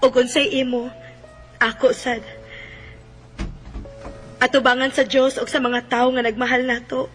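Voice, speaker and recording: A woman's voice: high voice, little pitch movement, unhurried, long pauses, a bit bright, soft background music. A woman with a Cebuano accent playing a tender, grateful young wife and mother in an emotive radio drama. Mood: tender, devoted. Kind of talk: Philippine radio drama acting.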